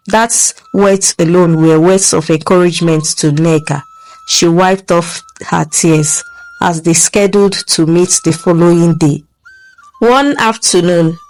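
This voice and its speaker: A woman narrating a folktale in a slow, deliberate reading voice. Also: on the low side, rough recording. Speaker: storyteller. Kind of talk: scripted story narration.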